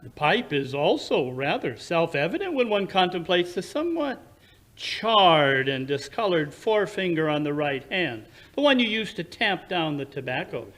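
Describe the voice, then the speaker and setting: A man plays a brilliant gentleman detective from an old radio mystery. His voice is high and swoops widely as he lectures with precise, theatrical self-assurance and a touch of condescension. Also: very animated, normal speed. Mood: confident deduction. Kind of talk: recreated 1940s radio drama acting.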